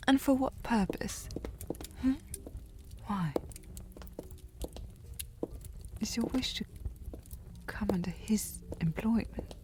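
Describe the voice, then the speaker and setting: Slow-talking tipsy young noblewoman, played by an actress. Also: on the high side, expressive, whispered. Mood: hushed, miffed. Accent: affected, sliding period accent. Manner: slightly slurred drunk delivery, playfully imperious, formal wording. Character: tipsy, haughty, teasing young noblewoman of a bygone era. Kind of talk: intimate audio roleplay, period drama.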